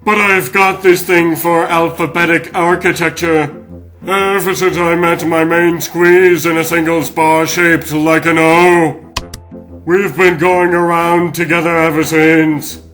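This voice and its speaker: A man's voice: on the high side, level tone, slow, fairly bright tone, faint music behind. A man voicing a gushing, wisecracking crab real-estate huckster villain in a comic dub. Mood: smug, menacing. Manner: effusive, wisecracking. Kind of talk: theatrical comic book dub acting.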